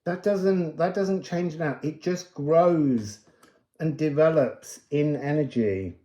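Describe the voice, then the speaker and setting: Slow-paced, musing card reader in echoey room. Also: medium pitch, warm tone. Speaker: tarot and oracle card reader. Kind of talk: casual, rambling spiritual card reading. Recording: roomy, echoing sound.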